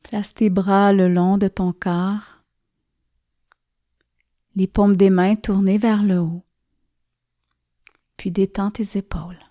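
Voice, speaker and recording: A woman guides a yoga nidra relaxation, speaking softly and soothingly with gentle pauses. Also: mid-range voice, level tone. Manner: soft, soothing, gently pausing. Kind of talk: guided relaxation meditation narration.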